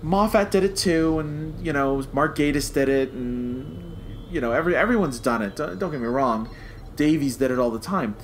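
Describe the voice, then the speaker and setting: A man co-hosting a review podcast in casual, conversational talk with halting, repeated starts. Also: a little high, a little hiss or hum.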